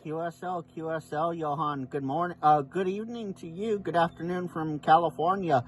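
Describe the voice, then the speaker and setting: A man's voice: on the high side, normal speed. A man working as a ham radio operator, making contact calls and repeating key details for emphasis. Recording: faint background noise.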